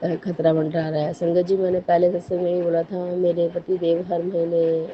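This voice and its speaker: Hoarse low-voiced woman giving devout thanks. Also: little pitch movement, dull audio, a little hiss or hum. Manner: earnest, halting, repeats thanks and apologies. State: hoarse from a cold and cough. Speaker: devotee sharing a testimony. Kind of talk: devotional personal testimony.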